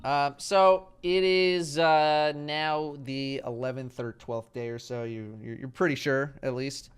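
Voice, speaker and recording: Brief: young male game master, smooth clear tone, casual, halting narration. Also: medium pitch. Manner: relaxed, hesitant narration with many fillers. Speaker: dungeon master.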